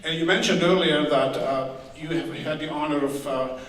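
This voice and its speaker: A man hosting a concert as bandleader, speaking warmly and stumbling over his words. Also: a little high, moderate pace. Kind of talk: stage hosting. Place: concert hall. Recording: roomy hall from far off.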